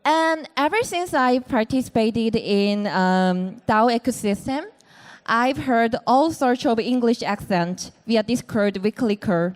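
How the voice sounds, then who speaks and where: A woman with a Korean accent moderating a conference panel, speaking with frequent fillers and hesitations. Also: a little high. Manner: hesitant, many fillers, light laughs. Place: conference venue resembling a bar.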